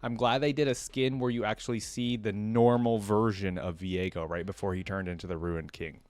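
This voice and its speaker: A man's voice: lowish voice, steady tone, moderate pace, darkish tone, no room sound. A man giving casual reaction commentary as a video host, rambling and thinking aloud.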